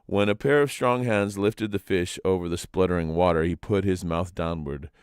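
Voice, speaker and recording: A man narrating legends as a storyteller, in a dramatic, expressive reading. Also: deep voice, moderate pace, clean tone, dry recording, clean studio sound.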